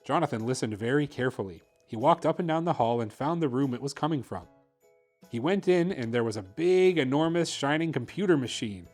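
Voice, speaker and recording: A man's voice: medium pitch, lively intonation, a little fast, darkish tone, soft background music. A man hosting a story time, narrating a children's picture book expressively. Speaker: host reading children's picture books.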